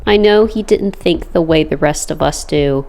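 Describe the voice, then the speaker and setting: A woman's voice: deep voice, moderate pace, gravelly, mellow. A woman playing an earnest, wry young superheroine in a dramatic comic dub, both speaking lines and narrating her anxious thoughts. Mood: reflective, pained.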